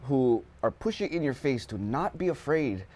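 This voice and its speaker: A man's voice: medium pitch, animated, moderate pace, darkish tone. A man, a talk show host speaking casually and expressively and mixing in words. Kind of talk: conversational interview. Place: television studio. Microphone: lapel mic.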